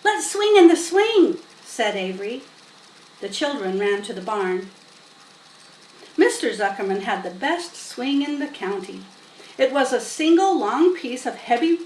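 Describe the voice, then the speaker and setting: A woman reading a children's story aloud in an expressive, unhurried way with dramatic emphasis. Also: fairly high pitch. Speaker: storybook reader. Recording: roomy, distant mic with faint noise.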